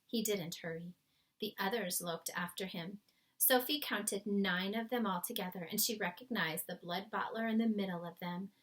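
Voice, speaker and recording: A woman's voice: mid-range voice, moderate pace, a bit bright. A woman reading a children's story aloud as scripted narration. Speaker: storybook reader.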